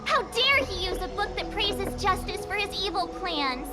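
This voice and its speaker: A woman voicing an earnest, excitable girl with a righteous temper in a video game, forthright and dramatic. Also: very high voice, moderate pace. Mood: indignant. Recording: loud music under the voice.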